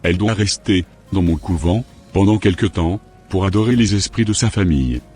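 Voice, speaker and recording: A man voicing a menacing, domineering spiritual master in an amateur cartoon dub, speaking in a commanding, deliberate way. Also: sits low, a little mellow, faint music behind, lightly acted. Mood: solemn, knowing. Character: menacing, domineering male spiritual master, a sinister occult healer.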